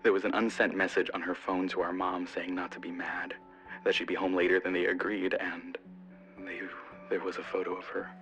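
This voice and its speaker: An actor playing the grieving older brother of a missing girl, speaking in a hushed, half-whispered way. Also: low voice, completely flat delivery, a little fast, soft background music, poor audio. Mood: subdued. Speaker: interviewee.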